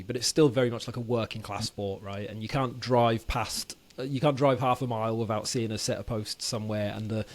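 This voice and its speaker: A man from Wales who grew up in England, hosting a podcast in a chatty, friendly way and calling his guest "mate". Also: medium pitch. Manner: chatty, lots of fillers and "mate". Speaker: podcast host. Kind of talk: casual interview chat.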